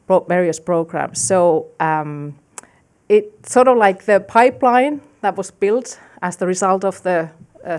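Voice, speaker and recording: A middle-aged woman researcher on a panel, speaking hesitantly with frequent fillers into a desk microphone on a conference stage. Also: on the low side. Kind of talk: panel discussion remarks.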